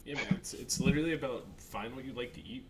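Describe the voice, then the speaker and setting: A man's voice: medium pitch, fast. A man co-hosting a casual podcast, laid-back and laughing easily as he reads out viewer questions. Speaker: podcast co-host. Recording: distant mic with loud noise.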